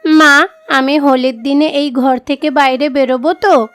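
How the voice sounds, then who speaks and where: A woman voicing a meek, devout young village daughter in an animated moral story, earnest and plaintive. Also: high-pitched, unhurried, soft background music. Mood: hopeful, timid.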